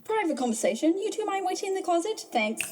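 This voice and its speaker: A woman's voice: very high voice, sing-song, fast talker. A woman playing a ruthless, card-obsessed villainess in a comedic audio drama, menacing and theatrical. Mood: mock polite menace. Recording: music bed behind the voice.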